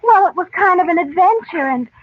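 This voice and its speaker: An imaginative young girl in an old radio play. Her voice is high, earnest and wistful, heard on a muffled, noisy recording. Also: animated, a little fast. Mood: hesitant, earnest. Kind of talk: old-time radio drama acting.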